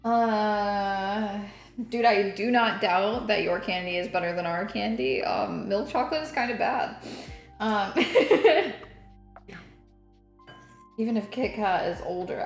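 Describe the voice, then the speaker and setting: A woman's voice: mid-range voice, echoey room. A young woman from Northern New York hosting a casual baking stream, chatting and giggling as she reads her chat aloud. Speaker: streamer host. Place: home kitchen.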